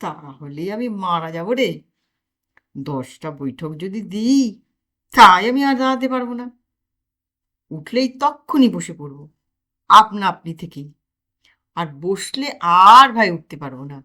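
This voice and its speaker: Lady doing a lazy joking young dude, dramatic, slow. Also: mid-range voice, sing-song, pushed and bright. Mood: mock-dramatic. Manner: teasing, mock-dramatic. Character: lazy, mischievous young man.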